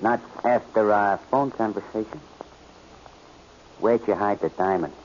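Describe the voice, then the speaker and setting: A man's voice: fairly low pitch, a little slow, a little mellow, close to an everyday voice. An actor playing a greedy, menacing male henchman, talking tough and threatening in 1940s radio crime style. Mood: scheming. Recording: muffled, noisy old radio recording.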